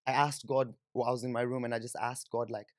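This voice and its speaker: A man's voice: mid-range voice, flat delivery, quick pace, no room sound. Young Kenyan man, dry close mic. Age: young adult. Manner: informal, frequent "like" and "you know". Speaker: podcast guest. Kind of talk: conversational personal storytelling. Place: small indoor podcast studio, on a sofa. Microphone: close studio microphone on boom arm.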